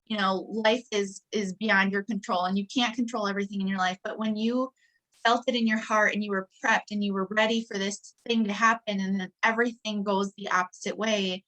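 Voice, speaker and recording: A woman's voice: medium pitch, fairly even intonation, a little fast, fairly bright tone, rough recording. A woman in her late twenties from Wisconsin, a guest sharing personal stories earnestly, often laughing and restarting sentences. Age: 20s. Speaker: guest entrepreneur. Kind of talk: personal storytelling in interview.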